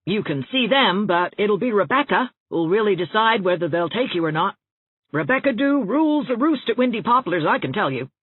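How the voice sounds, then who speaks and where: A woman's voice: mid-range voice, animated, normal speed, slightly rough, phone-quality sound, pitched above the performer's own voice. A woman voicing a chatty, well-meaning older small-town busybody in an audiobook, with a folksy, rambling, confiding manner. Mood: matter-of-fact, knowing. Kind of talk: audiobook character dialogue. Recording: thin band-limited audio, roomy echo.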